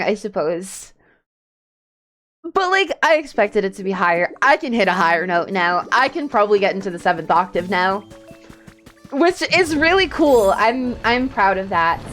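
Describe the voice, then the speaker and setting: Slow-paced chatty woman streamer over faint music. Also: mid-range voice, fairly bright tone. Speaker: streamer, VTuber. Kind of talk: casual livestream chat while playing a video game.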